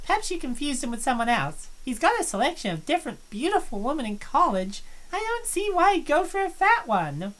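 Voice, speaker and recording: A woman's voice: high-pitched, very animated, fairly quick, hardly any pauses, a bit bright. A woman voicing a hesitant, doubtful student. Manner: hesitant, apologetic. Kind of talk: fan voice acting of a visual novel.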